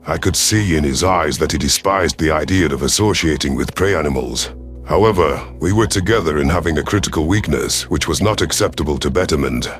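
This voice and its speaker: A man reading a dramatic science fiction story as a scripted narration, in a measured, serious manner. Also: a bass voice. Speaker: audiobook narrator. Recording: music behind the voice.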